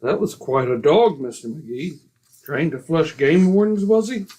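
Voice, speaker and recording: A man portrays a smug society neighbor in an old-time radio comedy. He speaks with genteel, formal superiority in a somewhat high voice. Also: animated, a little slow, dark tone, breathy edge. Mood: smugly polite.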